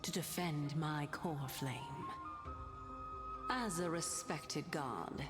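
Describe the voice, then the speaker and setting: A woman voicing a calm, gracious ancient goddess in human disguise, speaking softly in a breathy half-whisper. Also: sits low, slow, in a large hall. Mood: calm, knowing. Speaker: game character. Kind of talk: video game voice acting. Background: game music.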